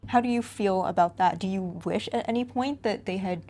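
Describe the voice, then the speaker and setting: A woman's voice: mid-range voice, fairly quick, dark tone. Woman interviewer, curious polite questions.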